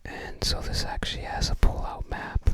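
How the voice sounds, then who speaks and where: An ASMR host speaking in a breathy, unvoiced whisper, musing aloud in short, casual fragments while looking through a book. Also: moderate pace.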